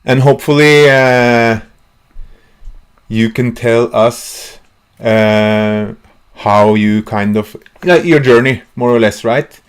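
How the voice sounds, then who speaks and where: A man's voice: mid-range voice, takes it slowly, smooth. Casting: male host, casual interview, rambling, restarts often. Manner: rambling, stumbling questions.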